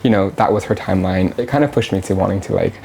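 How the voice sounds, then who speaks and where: A woman in her thirties tells her story in a relaxed, candid way, laughing easily. She grew up in Canada after a start in Mexico. A faint hum of ambience sits under her voice. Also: rumbling low, fast, creaky. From Mexico, raised in Canada. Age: 30s. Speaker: interview guest, drag performer. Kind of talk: casual interview. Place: her home.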